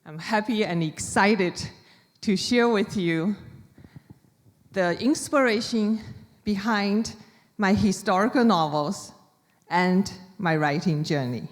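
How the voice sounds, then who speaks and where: A woman from China with a Chinese accent gives a prepared public talk as an author, speaking deliberately in short, measured phrases. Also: mid-range voice. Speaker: author giving a book talk. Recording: reverberant hall with long echo.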